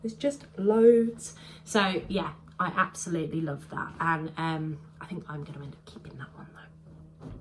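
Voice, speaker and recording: A woman's voice: low-pitched, steady tone, unhurried, a little mellow, poor audio. A woman chatting casually to camera about her sewing projects, a bit congested and bunged up, rambling with lots of "um"s. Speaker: sewing vlogger.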